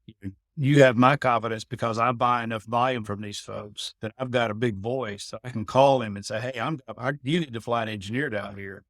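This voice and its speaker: A man's voice: medium pitch, steady tone, fairly quick, fairly bright tone, no room sound, clean studio sound. A middle-aged man, a veteran of his trade, explaining things in a casual conversation, often repeating words and asking "you know what I mean?". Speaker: guest, building automation integrator veteran.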